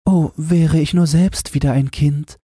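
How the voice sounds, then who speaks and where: A gentle, wistful mother queen from a storybook, acted out by a male reader. The voice is lifted high and very breathy, with a soft, dark tone. It sounds tender and sorrowful, close and dry. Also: steady tone, measured pace, no room sound. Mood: wistful, longing.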